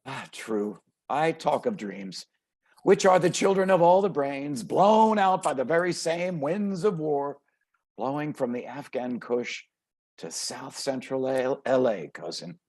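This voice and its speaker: An actor playing a volatile, mocking male street gangster in a theatrical table read, gravelly and husky, swinging from hushed murmurs to shouts. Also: mid-range voice, expressive, unhurried. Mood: subdued, brooding. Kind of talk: dramatic table read of a modernized Shakespeare play. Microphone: video call.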